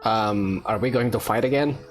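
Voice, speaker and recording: A man's voice: lowish voice, normal speed. A man giving casual let's play commentary, reacting aloud and talking to himself. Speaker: gaming video host. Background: faint game music.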